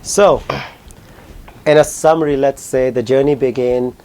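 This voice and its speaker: A man in his thirties from Pakistan with a Pakistani accent, answering interview questions in a fast, animated way. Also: mid-range voice, smooth. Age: 30s. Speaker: guest, company founder.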